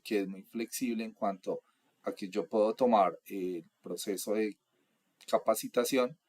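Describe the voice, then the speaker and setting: A young adult man from Colombia, explaining course material in a steady, methodical lecture. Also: on the low side, husky. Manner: steady, methodical, lists items one by one. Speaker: instructor.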